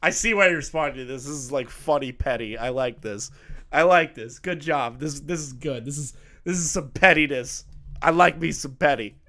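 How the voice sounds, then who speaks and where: Bright-voiced blunt commentator man. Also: fairly high pitch, normal speed. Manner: blunt, profane, mocking. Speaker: commentary channel host. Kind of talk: reaction commentary.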